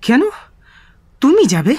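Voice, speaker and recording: A man playing a bitter, diseased husband in an audio drama, accusing and taunting in a strained high voice. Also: wildly swooping pitch, takes it slowly, dark tone, slightly rough, full character voice. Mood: jealous, accusing. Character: bitter, suspicious, diseased husband cursing his wife.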